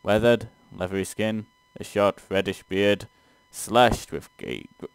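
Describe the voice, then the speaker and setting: A man's voice: on the low side, unhurried, creaky, smooth, no room sound. A man co-hosting a casual let's play, reading game text aloud with stumbles and sweary, exasperated asides. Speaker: gaming show co-host playing and reading aloud.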